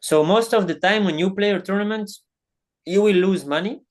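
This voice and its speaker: A man's voice: high-pitched, moderate pace. Belgian man explaining at easy pace. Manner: non-native phrasing, frequent restarts. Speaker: professional padel player, guest. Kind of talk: casual interview answers.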